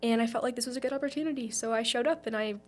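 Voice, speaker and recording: A woman's voice: fairly high pitch, rapid-fire. A young woman from Texas speaking casually as a student volunteer being interviewed. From Texas, United States. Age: young adult. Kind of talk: casual chat.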